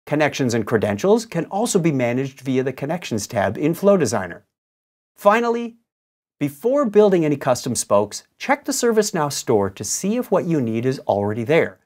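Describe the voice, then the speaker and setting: A man in his fifties giving scripted software instruction in a clear, emphatic, didactic presenter's delivery. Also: on the high side, sing-song, moderate pace.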